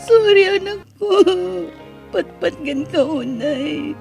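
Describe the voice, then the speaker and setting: A woman playing a humble, pleading young single mother in an Ilocano audio drama, with an Ilocano accent and a breathy, husky voice. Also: sits high, expressive, slow pace, a little mellow, soft background music, full character voice. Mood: tearful, loving. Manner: pleading, emotional.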